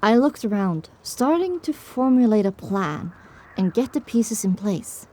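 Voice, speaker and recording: A woman's voice: medium pitch, sing-song, unhurried, a little mellow. A woman narrating an audio drama in the first person with a dramatic, scripted delivery.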